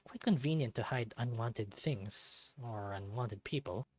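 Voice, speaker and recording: A man reading a visual novel aloud as expressive first-person scripted narration. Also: mid-range voice, unhurried, no echo.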